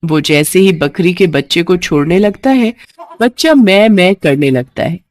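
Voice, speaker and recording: A woman's voice: lowish voice, expressive, unhurried, a little mellow, dry recording. Woman narrator, dry close sound. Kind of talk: scripted moral story narration.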